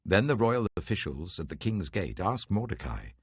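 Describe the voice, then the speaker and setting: A man reads scripture with a very low, husky voice that swings widely in pitch. His delivery is measured and dramatic, and the sound is dry and close. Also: very animated, dry recording. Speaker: Bible reader.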